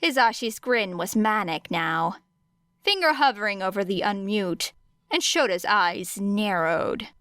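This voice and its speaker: A woman narrating fiction as an audiobook, with expressive storytelling. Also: mid-range voice, unhurried, clear, resonant tone, no room sound, studio quality.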